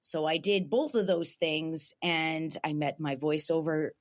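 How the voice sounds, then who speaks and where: A young woman with a raspy voice chatting casually as a podcast guest, laughing often and restarting her sentences. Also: low voice, fairly even intonation, unhurried. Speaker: guest voice actor.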